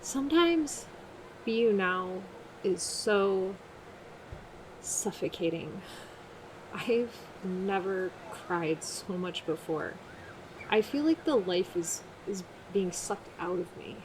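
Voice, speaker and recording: An actress playing an emotionally open, fragile member of a self-help group, hesitant and natural in an audio drama. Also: female voice, mid-range voice, animated, slow pace, background noise. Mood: weary, emotional. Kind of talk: naturalistic audio fiction acting.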